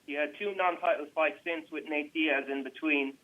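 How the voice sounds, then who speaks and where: A man's voice: fairly high pitch, fairly even intonation, normal speed. A man working as a reporter, putting questions to fighters over a phone conference call. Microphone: telephone. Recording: dull radio-like phone line.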